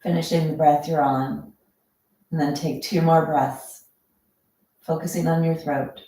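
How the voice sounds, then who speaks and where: A woman teaching yoga breathing as a guided meditation, speaking calmly and without hurry in drawn-out instructive phrases. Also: deep voice. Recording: very echoey room, distant microphone.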